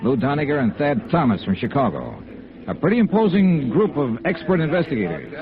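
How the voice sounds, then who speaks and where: An American actor playing a tough, blunt insurance investigator in a radio noir drama, clipped and direct. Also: male voice, mid-range voice, huge pitch swings, a little fast, music in the background. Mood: businesslike narration. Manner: clipped, hard-boiled, direct. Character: tough, blunt male freelance insurance investigator, narrating his case. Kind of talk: 1950s radio noir acting, narration and dialogue. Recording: muffled old radio broadcast.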